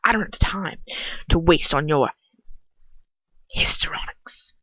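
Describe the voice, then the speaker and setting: A woman voicing a cold, menacing aristocratic male villain in a storybook reading, haughty, tight and hushed, with exasperated contempt. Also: low voice, lively intonation, slow pace, dry recording, dull audio, full character voice. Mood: cold threat.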